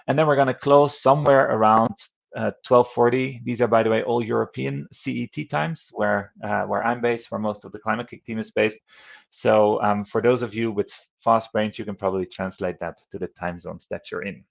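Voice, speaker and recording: A man from the Netherlands in his thirties hosts an online event over a video call. His voice is low with a gravelly edge, and the audio is dull and lo-fi. He talks in a friendly, rambling way with many fillers. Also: fairly even intonation, moderate pace. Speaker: event moderator.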